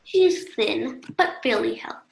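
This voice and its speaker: A young-sounding woman playing a patient, kindly caregiver in an amateur radio play, speaking gently and reassuringly. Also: on the high side, expressive, a little slow, creaky, reverberant, exaggerated voice. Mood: calm, reassuring.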